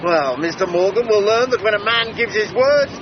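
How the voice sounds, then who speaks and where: Proud gentleman adventurer, muffled recording. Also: high-pitched, expressive, moderate pace. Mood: excited, resolute. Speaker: actor. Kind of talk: radio drama acting. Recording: dull sound with faint background noise.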